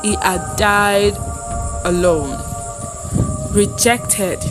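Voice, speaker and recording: A woman narrating a scripted, dramatic folktale as a storyteller, in a measured, solemn manner with deliberate phrasing. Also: mid-range voice, steady tone, music in the background.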